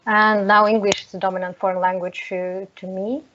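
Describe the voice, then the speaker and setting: A woman from Lithuania whose first language is Russian presents at an online seminar. Her voice is medium in pitch and her delivery is hesitant and halting. The call sounds dull, with faint noise and clicks. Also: little pitch movement, a bit bright.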